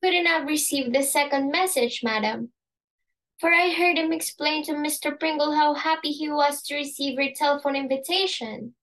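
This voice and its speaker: Casting: deferential servant read by a woman, high-pitched, formal and polite, for an amateur drama. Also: fairly even intonation, normal speed, fairly bright tone. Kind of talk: amateur dramatic play reading.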